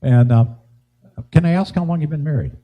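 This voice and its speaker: A man hosting a live music show, chatting casually and haltingly as he interviews guests, with chuckles between phrases. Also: fairly high pitch, lo-fi sound.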